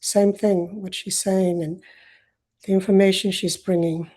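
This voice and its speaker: An older woman born in Uganda, with Swahili as her first language, hosting an online video call and speaking in a reflective, halting way. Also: mid-range voice, flat delivery, dark tone. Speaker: host.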